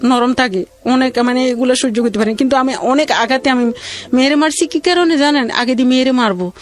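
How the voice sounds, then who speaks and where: A middle-aged woman with a colloquial regional Bangladeshi accent tells her own life story in a halting, rambling, plaintive way. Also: sits high, soft background music. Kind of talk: emotional personal storytelling.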